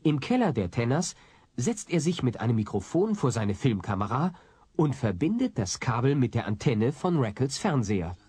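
A man's voice: mid-range voice, sing-song, normal speed, mellow, slightly rough, no echo. A man narrating a comedy audio drama as a scripted storyteller.

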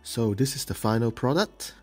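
A man hosting a model-building show, explaining the steps plainly, with pauses and ums. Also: medium pitch, animated, a little mellow, soft background music. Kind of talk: hobby tutorial voice-over.

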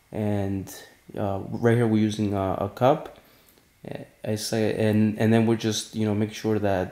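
A man's voice: on the low side, warm tone. A man giving an informal how-to cooking voice-over as a fitness vlogger, addressing viewers casually.

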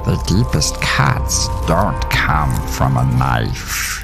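A man voicing a small maggot-faced demon in a horror story, speaking in a slow, hushed, tender and eerily soothing way. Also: rumbling low, very animated, gravelly, voice pushed down, full character voice. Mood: slow, solemn. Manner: slow, drawn-out, hushed delivery. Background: music.

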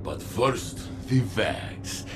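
A slow, earnest warrior ally. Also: male voice, lowish voice, noisy background. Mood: steady. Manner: calm, measured, encouraging. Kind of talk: video game voice acting.

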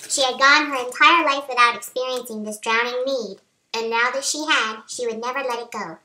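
A woman reads romance fiction aloud with a helium-affected voice. It is highish and sharply bright, with the roomy echo of a home recording. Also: fairly quick, slight background noise. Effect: voice raised by helium. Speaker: author reading own novel. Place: small home office, indoors. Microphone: webcam at arm's length.

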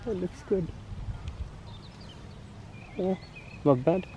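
A man in his forties, a native Swedish speaker, chatting casually to his handheld camera in a halting way with many fillers. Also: sits high, mellow, lo-fi sound, a little hiss or hum. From Sweden. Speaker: fishing vlogger. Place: outdoors on a grassy field by woods, later in a car.

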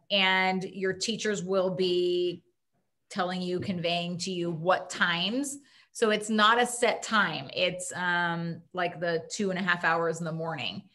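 A woman's voice: fairly low pitch, fairly bright tone. Woman principal answering parent questions on a video call, lots of ums, restarts. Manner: informal, thinks aloud with fillers and restarts. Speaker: school principal.

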